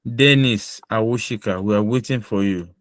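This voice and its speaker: A man's voice: lowish voice, moderate pace, dry recording, clean studio sound. A man hosting a mock visa interview on a video call, asking brief, formal questions in a firm official tone.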